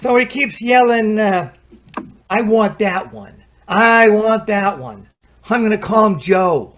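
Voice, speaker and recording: An actor playing a chatty, excitable technician with an American accent, colloquial, in an old-time radio drama. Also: male voice, high-pitched, slow pace, a little hiss or hum, exaggerated voice. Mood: exasperated, amused. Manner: stammering when flustered, colloquial. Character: excitable working-man technician, chatty and easily alarmed.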